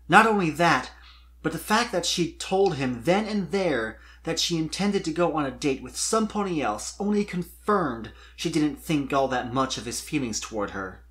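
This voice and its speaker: A man reading a scripted story as narrator, in an expressive, wistful storybook manner. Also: medium pitch. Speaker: fan fiction narrator.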